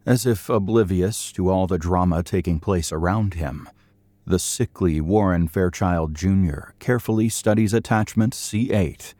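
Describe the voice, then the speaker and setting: A man narrates a scripted audio drama in a low, mellow voice. The delivery is polished and suspenseful, captured very dry. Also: a little slow, no room sound.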